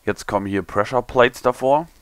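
A young man, a little hungover and hoarse, mumbling and thinking aloud as he builds in a game tutorial. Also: mid-range voice, moderate pace, no room sound. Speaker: gaming tutorial creator. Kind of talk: casual commentary while building.

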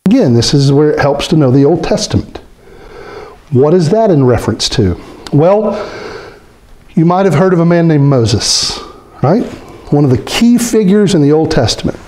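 A middle-aged man preaches from a stand mic in a small church hall, his voice sitting a bit higher than most men's. He is animated and conversational, tossing questions to his listeners and sinking low to voice scripture figures. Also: moderate pace, pauses often. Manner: animated, gestures a lot, asks the congregation questions. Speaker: pastor. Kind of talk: sermon. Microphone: stand mic in front of speaker.

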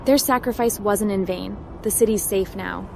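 A woman's voice: mid-range voice, normal speed, gravelly, background noise, lightly acted. A woman voicing a resolute female hacker heroine in a video game roleplay. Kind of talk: roleplay game protagonist voice-over. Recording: game music behind.

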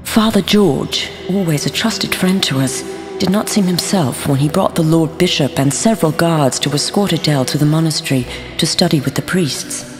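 Anxious noblewoman mother actress: pleading letter narration for a game, lowish, reverberant. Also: moderate pace, over music, natural voice. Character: worried medieval mother, sorrowful, pleading. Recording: long reverb.

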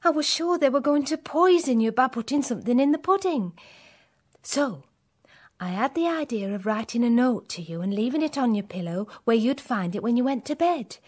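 An actress playing a nervous, apologetic young housemaid in a radio play. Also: female voice, a little high, animated, moderate pace.

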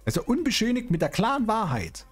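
Male game streamer, husky and very animated, casual teasing delivery, music behind. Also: sits high, brisk. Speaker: streamer playing a role-play game. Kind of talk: casual in-character gaming chat. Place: indoor streaming room.

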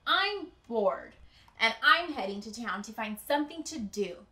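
A woman plays a determined storybook hen, clucking out emphatic declarations. The voice is high and bright, in an echoey room. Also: lively intonation, measured pace. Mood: bold, eager. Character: bold, bored, determined mother hen in a storybook. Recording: roomy indoor echo.

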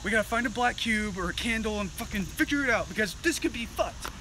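A man acts as an insistent, profane young friend in an amateur comedy skit, pushing and pleading with urgency. His voice is extremely high for a man and lively, recorded on a noisy location. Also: fast talker. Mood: urgent, frustrated.

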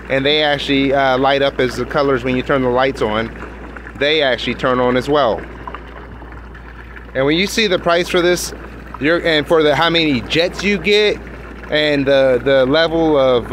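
A man's voice: fairly high pitch, a bit bright, slight background noise. A man walking around his backyard hot tub with a phone camera, explaining features in a rambling, self-correcting way full of fillers. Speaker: hot tub owner reviewing his own tub. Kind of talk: casual handheld product walkthrough. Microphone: phone camera held by the speaker.

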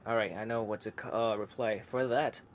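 A young man reacting to viewer comments in casual chat from his laundry room, keeping quiet so his family can sleep, with many fillers and restarts. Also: lowish voice, fairly even intonation, fairly quick, slight background noise. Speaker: YouTuber reading comments.

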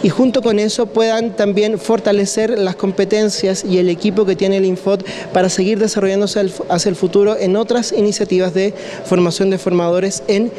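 A man, an audiovisual communicator giving a measured, explanatory interview answer into handheld reporter mics. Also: high-pitched, level tone, mellow, a little hiss or hum. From Chile. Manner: measured, long explanatory sentences. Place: indoors by a stairwell with plants. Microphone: handheld reporter mics held up close.

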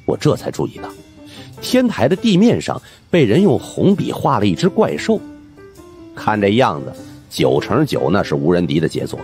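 A man with a husky voice narrating a supernatural mystery audiobook in a dramatic scripted style. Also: medium pitch, darkish tone.

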